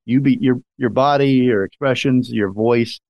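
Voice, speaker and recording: A man's voice: mid-range voice, normal speed, gravelly, dry recording, muffled recording. A man hosting a casual interview, enthusiastic and rambling, restarting his sentences as he praises his guest. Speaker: host.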